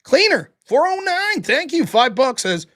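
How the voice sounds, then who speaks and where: Animated high-voiced man reading donations. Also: a little slow. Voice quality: high-pitched voice, heavy breathing. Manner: animated, profane, yells about politics. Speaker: livestream host reading super chats. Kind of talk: casual livestream chat.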